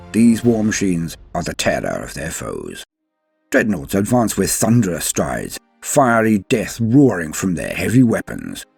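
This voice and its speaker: A man's voice: lowish voice, gravelly, darkish tone, husky. A man hosting a lore show, delivering scripted narration in a theatrical, formal and florid manner. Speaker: host of a Warhammer lore show.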